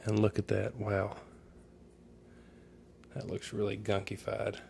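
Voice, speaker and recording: A man's voice: on the low side, completely flat delivery, slow, gravelly, a little mellow, a little hiss or hum. A man giving a casual how-to narration in an informal, folksy way, thinking aloud as he goes, recorded in a room at home. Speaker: DIY demonstrator.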